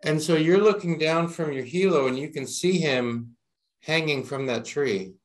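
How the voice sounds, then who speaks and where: A man's voice: a little high, unhurried, poor audio. A man interviewing someone conversationally, recapping and checking the story step by step.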